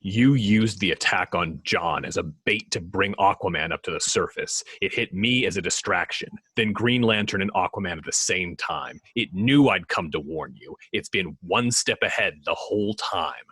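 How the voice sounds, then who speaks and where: Man playing a low, husky, grim vigilante hero. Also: normal speed, hardly any pauses, sharp tone, no room sound, full character voice. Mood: urgent, stern. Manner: terse, clipped, intense. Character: grim guarded male vigilante superhero, battered and guilt-ridden. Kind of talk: amateur table read of a film script.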